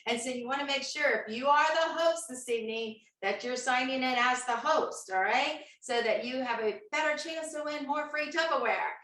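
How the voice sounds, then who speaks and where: Woman host, bright and forceful, chatty audience quizzer, set back from the mic in an echoey room. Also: high voice, moderate pace, hardly any pauses, distant mic. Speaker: host of an online cooking show. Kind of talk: upbeat live-stream hosting.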